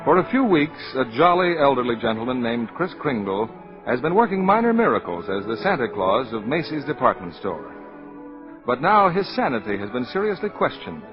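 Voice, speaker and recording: Male American old-time radio announcer; animated scripted narration, dull broadcast with music underneath. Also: mid-range voice, normal speed.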